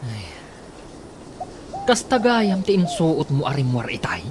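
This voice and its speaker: Man acting a grumbling, exasperated husband, animated delivery. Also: on the high side, slow, a loud crowd, exaggerated voice. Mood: disapproving. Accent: Ilocano. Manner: grumbling, complaining. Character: exasperated husband nagging about his wife's flashy outfits. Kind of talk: Ilocano radio comedy drama acting.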